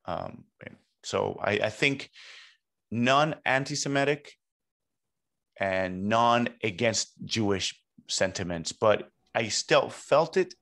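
A man in his thirties with a fairly low voice speaks as a podcast guest. He tells personal stories in a conversational way, recorded up close on a podcast microphone in a small home studio. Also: animated, slow pace, gravelly, a bit bright. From Puerto Rico and New York. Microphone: close podcast microphone on boom arm.

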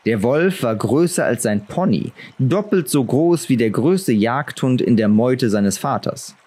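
A man's voice: mid-range voice, expressive, a little slow, mellow, slightly rough. A man reading a fantasy novel aloud as a dramatic audiobook narrator.

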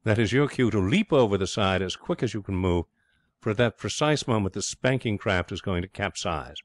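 A man's voice: lowish voice, expressive, brisk, no echo, lightly acted. A man voicing a breezy, self-satisfied young schemer in a comic story, florid and cajoling with cheerful pomposity. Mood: grandly enthusiastic. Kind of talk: storybook character voice in comic fiction reading.

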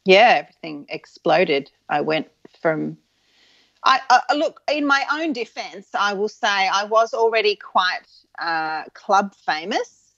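A woman in her forties from Adelaide, with a soft Australian accent that leans English, reminiscing frankly as an interview guest with many ums and restarts. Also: medium pitch, animated, unhurried, no echo, muffled recording. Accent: soft Australian with an English tinge.

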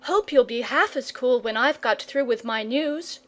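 Woman doing an excitable young boy. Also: on the high side, unhurried. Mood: teasing. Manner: excited, breathless urgency.

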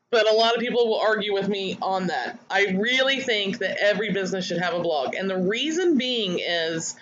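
A woman's voice: on the high side, fairly bright tone, dull audio, slight background noise. A woman coaching online marketers in a webinar, chatty and candid as she reads out attendees' comments. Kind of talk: webinar teaching.

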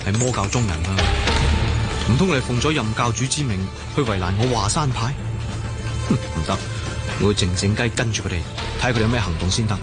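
A man's voice: on the low side, a little fast, roomy sound, close to an everyday voice. A man with a Hong Kong accent playing a carefree, cocky young swordsman who talks playfully to himself in a radio drama. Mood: wary, plotting. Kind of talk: Cantonese wuxia radio drama acting. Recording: radio drama with loud music bed.

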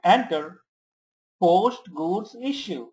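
A software trainer giving step-by-step instructions in short commands, checking in often during a screen tutorial. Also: medium pitch, lively intonation, extremely slow. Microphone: screen-recording voice-over.

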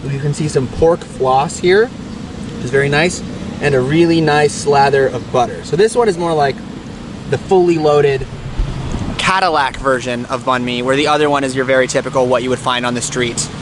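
A man's voice: mid-range voice, normal speed. A young man talking casually and eagerly to a camera held at arm's length, outdoors in a city park, with lots of fillers. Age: young adult. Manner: chatty, enthusiastic, many fillers. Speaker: travel vlogger. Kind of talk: casual travel vlog. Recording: outdoor street noise.

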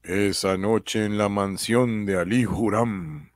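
A man's voice: on the low side, steady tone, slow pace, dry recording. A man reading scripted narration for a comic-book radio drama.

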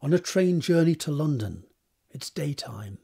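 A man's voice: fairly high pitch, animated, a little slow, vocal fry, dark tone, slightly rough, full character voice. A man narrating scene directions for a period romance audio drama in a scripted present-tense style. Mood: descriptive.

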